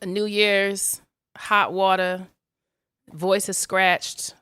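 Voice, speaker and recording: A young adult woman co-hosting a podcast, trading gossip in an animated, agreeable way. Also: mid-range voice, drawn-out words, clean tone. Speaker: podcast co-host. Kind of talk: casual gossipy chat.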